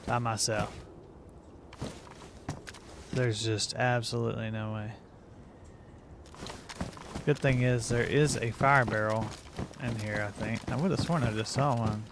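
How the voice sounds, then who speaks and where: Guy streaming a game, rambly country-casual chat, noisy audio with some clicks. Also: medium pitch. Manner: rambling, folksy, informal phrasing. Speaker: livestreamer. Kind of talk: casual gaming commentary and chat.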